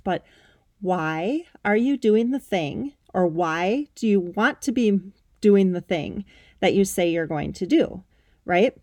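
Woman, 30s, podcast host: emphatic, upbeat pep talk, mid-pitched, dry close sound. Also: a little mellow, no room sound. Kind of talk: motivational solo podcast talk.

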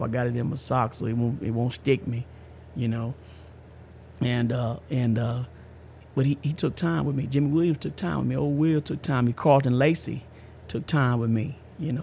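A man's voice: medium pitch, vocal fry, a little mellow, slight background noise. A man in his fifties hosting a podcast, giving a casual personal testimony in an emphatic, slangy way, often repeating himself. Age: 50s. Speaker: podcast host.

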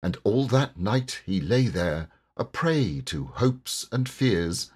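Slow, theatrical man reading verse. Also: fairly low pitch, lively intonation, darkish tone, breathy edge. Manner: measured, theatrical, deliberate phrasing. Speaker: poetry reader and narrator. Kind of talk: expressive storybook verse reading.

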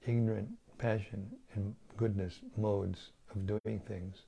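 Older guy teaching scripture, gravelly low voice, mellow, keeps restarting words. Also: level tone. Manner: slow, repeats and restarts words. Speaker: spiritual teacher. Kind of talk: devotional talk on scripture.

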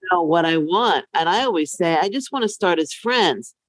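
A woman's voice: on the low side, expressive, moderate pace. A middle-aged woman podcast co-host chatting casually in a candid, rambling way. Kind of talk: casual chat.

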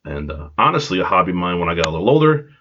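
A man's voice: sits low, very animated, rapid-fire, pushed and bright, a little hiss or hum. A congested man with a cold hosting a podcast, telling a rambling, self-deprecating story with side tangents. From Long Island, New York. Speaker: podcast host. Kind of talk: casual storytelling monologue. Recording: dull lo-fi home recording.

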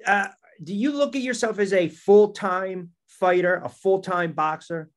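A man's voice: sits high, a little slow. A man hosting a sports podcast, conversational, asking long leading questions. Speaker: podcast host. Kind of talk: sports talk interview.

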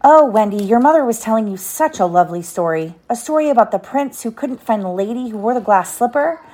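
A woman's voice: on the high side, animated, a little fast, warm tone, voice pushed up. Storybook boy adventurer played by a woman: cocky, eager, blunt, boastful. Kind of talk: children's storybook read aloud.

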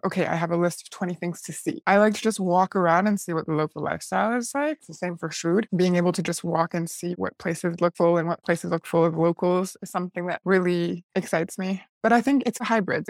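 A woman's voice: fairly low pitch, no room sound. Chatty young woman, dry close mic. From United States. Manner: chatty, curious, frequent "like" fillers. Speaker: guest. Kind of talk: casual interview conversation.

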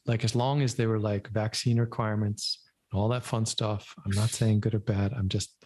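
A man's voice: on the low side, little pitch movement, moderate pace, a little mellow, slightly rough. A man hosting a casual podcast chat, speaking with an accent between American and German in a laid-back, wry, rambling way. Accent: mixed American and German. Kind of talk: casual conversation.

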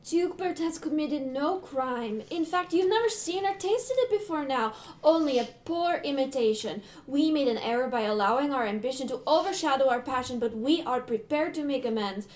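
A humble, sincere yogurt maker acted by a woman with a high voice. She speaks formally and pleadingly, as if presenting to royalty in a comedy table read.

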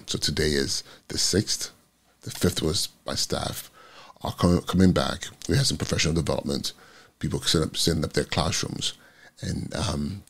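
A man in his forties, a school principal, with a raspy voice, talking through a reflective morning vlog full of ums. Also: very deep voice. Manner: rambling, reflective, many ums.

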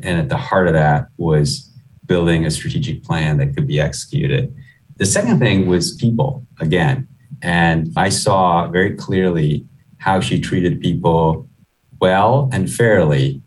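A middle-aged man with a low voice answers interview questions as an executive. His delivery is measured and conversational, sprinkled with "you know". He sounds somewhat distant from the microphone. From Guyana, moved to the US at eleven. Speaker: chief executive, interview guest.

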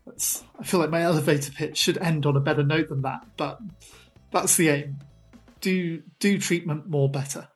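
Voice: medium pitch, steady tone, normal speed, faint music behind. A speaker in casual academic chat about applying mathematics to images, hesitant, with frequent restarts and self-deprecating humour. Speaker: mathematics researcher, co-host.

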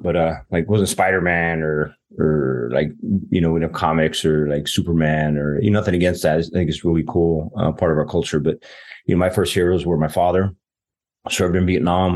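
A man's voice: sits low, steady tone, a little fast, a little mellow. A man from southern New Mexico, a podcast guest, talking earnestly with many fillers and restarts. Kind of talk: conversational interview.

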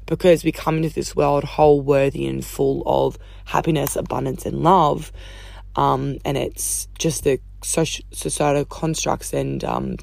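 A woman hosting a casual solo podcast, chatty and upbeat, swearing casually, her voice croaky from talking all day. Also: deep voice, a little mellow, no echo. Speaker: podcast host.

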